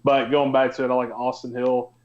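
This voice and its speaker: A male betting analyst talks through his picks in a level, fairly flat delivery. He is conversational and matter-of-fact, pausing to gather his thoughts, and the audio is a little dull. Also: medium pitch, crowd noise. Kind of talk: casual betting picks talk.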